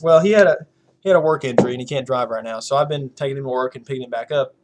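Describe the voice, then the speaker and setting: A man's voice: medium pitch, rapid-fire, a little mellow, slight background noise. A young man with a Southern US accent, chatting casually as a podcast host, rambling with lots of fillers and frequent laughs. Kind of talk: casual chat.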